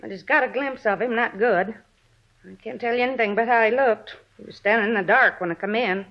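A woman's voice: on the high side, fairly quick. Folksy, gossipy neighbor woman, muffled recording. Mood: mildly defensive. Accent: American. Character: gossipy middle-aged married woman neighbor, plain-spoken and insinuating. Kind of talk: 1950s radio drama acting. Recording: dull vintage radio recording.

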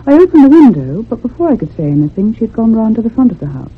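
Radio drama lead: convalescent lady storyteller, breathy-husky, animated melody, curious and easily unsettled, thin telephone-band sound. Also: on the high side, heavily acted. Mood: insistent, puzzled. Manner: literary, measured storytelling. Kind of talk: radio drama narration and dialogue. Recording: muffled, phone-like old radio sound.

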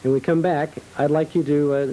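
A man's voice: a little high, fairly even intonation, a little fast, mellow, a little hiss or hum, poor audio. A man hosting a local television program, speaking conversationally to guests and viewers on an old cable TV tape. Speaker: host. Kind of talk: conversational local TV interview and ad reading.

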